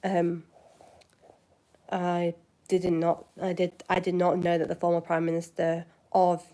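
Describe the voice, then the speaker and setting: Chatty Yorkshire woman with a lowish voice. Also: monotone, a little slow, warm tone. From West Yorkshire, England. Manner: chatty and rambling, with frequent fillers and restarts. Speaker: channel host. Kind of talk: casual chat answering viewers.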